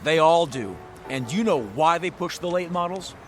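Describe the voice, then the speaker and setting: Radio drama: actor as bombastic male car huckster, high voice, folksy, relentless wheedling. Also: animated, normal speed, faint music behind, close to an everyday voice. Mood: indignant, persuasive. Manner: bombastic, wheedling, florid sales patter. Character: pushy, glib used-car salesman, a folksy fast-talking huckster.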